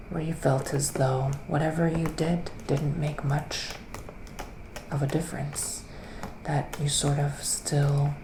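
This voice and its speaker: A man playing a gentle psychic witch in a soft ASMR roleplay, partly whispering, with hesitant, drawn-out phrasing. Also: a little high. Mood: soothing, assured. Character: gentle male witch giving psychic tarot readings. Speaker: ASMR performer. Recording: roomy echo, clear background noise.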